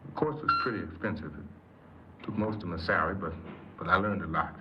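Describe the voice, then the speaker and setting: Actor as a deep-voiced, halting ex-convict, old noisy radio. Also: male voice, natural voice. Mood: earnest, wistful. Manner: hesitant, halting, repeats words. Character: earnest, insecure paroled ex-convict confessing his story. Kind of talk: 1950s radio drama acting. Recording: noisy muffled 1950s radio recording.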